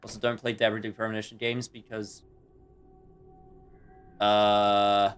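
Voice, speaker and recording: A man's voice: lowish voice, little pitch movement, takes it slowly, smooth. A man doing casual, rambling, jokey live commentary while streaming a game.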